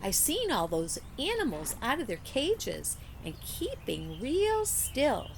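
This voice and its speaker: A wide-eyed, nosy little girl from a children's storybook, acted by a woman reader. She talks bluntly and childishly, with big rises and falls in pitch. There is faint noise behind her. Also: wildly swooping pitch, slow, gravelly, heavily acted. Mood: nosy wonder. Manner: blunt, ungrammatical childlike talk.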